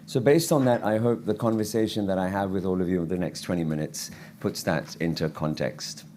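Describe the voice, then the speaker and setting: A man with a lowish, croaky voice gives a physician's conference lecture from the stage. He speaks earnestly, stumbling into restarts and fillers as he goes. A faint hum of the hall sits behind him. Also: brisk, warm tone. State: croaky voice from travel.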